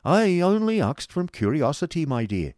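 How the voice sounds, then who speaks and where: A man's voice: a little high, very animated, normal speed, a little mellow, no room sound, clean studio sound, pitched above the performer's own voice. A man voicing a bullying, self-important schoolmaster husband in an emphatic, blustering storybook manner, drawing out his words. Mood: placating.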